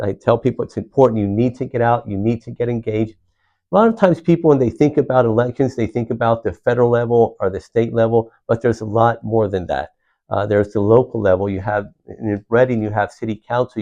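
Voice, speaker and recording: Middle-aged man, earnest interview answers, frequent restarts, warm dark tone, dull recording. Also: mid-range voice. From Puerto Rico. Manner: earnest, repeats words and restarts with many "uh"s. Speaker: county commissioner.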